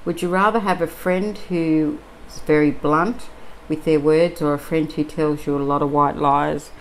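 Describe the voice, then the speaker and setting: A middle-aged Australian woman chatting casually and musing aloud, with many pauses and ums, while she crafts at a table indoors. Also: low-pitched, fairly even intonation, a little hiss or hum, rough recording. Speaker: crafting vlogger.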